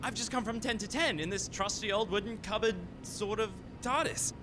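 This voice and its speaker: A man playing a gullible, excitable time traveller in a radio farce, eager and easily flustered. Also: normal speed, natural voice. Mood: excited. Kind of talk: radio play farce acting. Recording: clear background noise with vehicle-like rumble.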